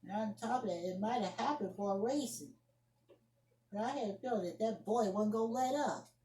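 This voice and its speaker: A woman's voice: medium pitch, brisk, mellow, natural voice. A woman playing an indignant young woman scolding someone over the phone in an amateur solo drama, emphatic and repeating her phrases. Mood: accusing. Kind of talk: amateur solo acted monologue, one side of a phone argument. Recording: roomy echoing home recording.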